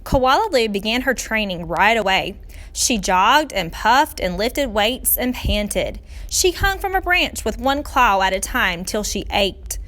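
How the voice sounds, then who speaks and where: A woman reading a children's storybook aloud like a teacher, with expressive, deliberate, dramatic phrasing for young listeners. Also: on the high side.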